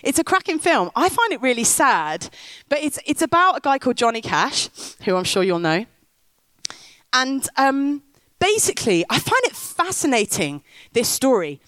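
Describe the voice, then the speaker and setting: A woman's voice: high voice, very animated, normal speed, fairly bright tone, dry recording. A woman preaching on stage, telling a story and rousing the crowd. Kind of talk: sermon with storytelling. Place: stage in a big top tent.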